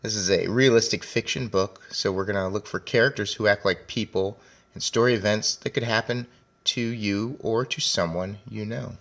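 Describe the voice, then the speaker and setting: A man with a lowish, creaky voice reads aloud to children like a teacher. He is deliberate and speaks straight to his young listeners, mixing story narration with simple commentary. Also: a bit bright.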